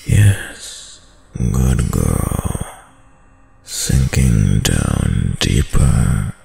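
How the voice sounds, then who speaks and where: A man playing a dominant, seductive villain prince who uses dark magic, slow and silky in an intimate audio roleplay, often dropping to a whisper. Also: heavily acted. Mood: coaxing, hypnotic. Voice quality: very deep, gravelly, breathy, often whispering. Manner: slow, commanding, silky, lingering pauses.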